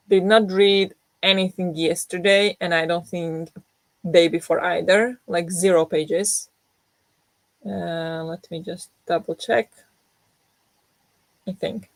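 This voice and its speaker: A young woman who has just woken up talks rambling and unhurried to her viewers, full of fillers and restarts. Her voice sits a little low and sounds groggy. The room sound is dry and close. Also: dry recording, low-quality recording. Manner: rambling, self-deprecating, many fillers and restarts. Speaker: book vlogger hosting a reading sprint. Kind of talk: casual chat to viewers.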